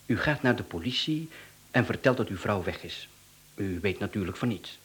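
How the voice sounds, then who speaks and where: An actor playing an unflappable, courteous contract killer and swindler in a radio play, speaking with a Flemish accent and businesslike politeness. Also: male voice, fairly low pitch, expressive, normal speed, fairly bright tone. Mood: businesslike, reassuring.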